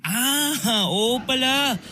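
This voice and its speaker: A man's voice: extremely high pitch, lively intonation, extremely slow, pushed and bright, slight background noise, heavily acted. A man playing an easygoing coworker in a radio drama, teasing his friend casually with a Filipino accent. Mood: nostalgic, amused. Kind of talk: conversational radio drama acting.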